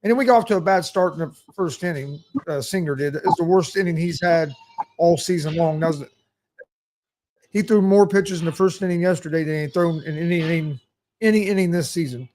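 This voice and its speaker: A man's voice: on the high side, brisk, darkish tone, a little hiss or hum, poor audio. A man in his forties co-hosting a casual sports talk show, giving a plain, rambling recap. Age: 40s. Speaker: co-host.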